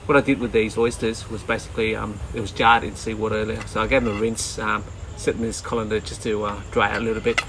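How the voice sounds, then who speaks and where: A man in his forties talking casually while he forages and cooks outdoors, relaxed and chatty with plenty of ums, recorded on a camera mic. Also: on the low side, fast, few pauses, low-quality recording. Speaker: cooking vlogger. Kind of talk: casual cooking commentary. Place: outdoor garden kitchen and rocky bay shore. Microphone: camera mic at medium distance. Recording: outdoor camera audio with loud ambient noise.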